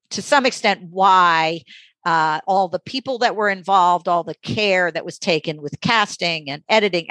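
Slow-talking, low-pitched mature woman. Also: a bit bright, dry recording. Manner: halting, reflective, pausing often mid-sentence. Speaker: interview guest, audiobook magazine editor. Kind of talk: thoughtful conversational interview.

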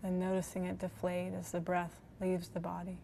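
A woman guiding a body scan meditation in a gentle, soothing manner, leaving long pauses between phrases. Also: lowish voice, completely flat delivery, vocal fry, a little hiss or hum, low-quality recording. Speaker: meditation guide.

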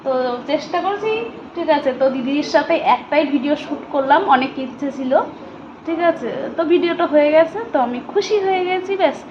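A woman's voice: high-pitched, highs cut off, a little hiss or hum. A woman vlogger narrating her day casually and chattily, speaking straight to her viewers. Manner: chatty, addresses viewers directly.

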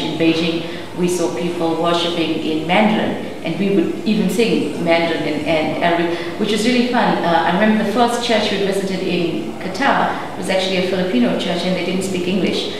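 Brief: woman, forties, lowish voice, measured, recorded far off in an echoey room. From South Africa. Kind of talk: interview answers. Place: room indoors. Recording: echoey room, distant mic, hiss.

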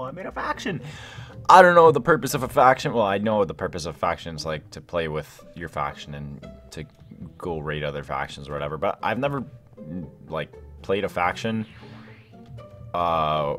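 Young man, very animated gaming host. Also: fairly low pitch. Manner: excitable, rambling, chatty. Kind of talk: casual gameplay commentary. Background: background music.

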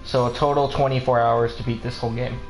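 A man's voice: medium pitch, flat delivery, fast, darkish tone. A man chats casually as he streams a video game, drifting into rambling little stories. His mid-range voice sits in a roomy echo, with faint music behind him. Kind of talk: casual livestream banter over video game.

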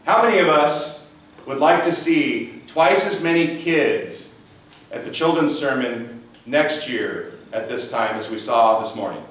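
Pastor with a big voice in an echoey church. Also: male voice, mid-range voice, unhurried, fairly bright tone, distant mic, slight background noise. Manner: conversational preaching with rhetorical questions. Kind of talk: sermon. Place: church sanctuary. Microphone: far camera, room mic. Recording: echoey church sanctuary, mic from afar.

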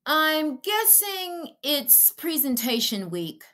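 A woman's voice: high voice, big pitch swings, slow, sharp tone, voice pushed up, heavily acted. Woman as a playful, teasing big brother.

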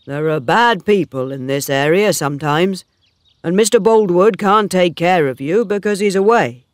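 A woman's voice: normal speed, no room sound, studio quality, lightly acted. A woman playing an earnest, lovelorn young farmhand. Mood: worried, cautioning. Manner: calm, sad, deeply sincere pleading. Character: earnest, worried, devoted young male farm worker in love. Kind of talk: storybook character dialogue.

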